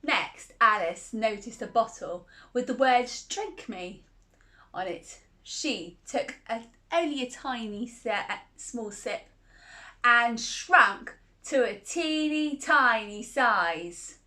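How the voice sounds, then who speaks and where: A woman's voice: on the high side, lively intonation, takes it slowly, bright, forceful tone. A woman reading a picture book aloud to children in a cozy, playful way, with chatty asides and laughs between the lines.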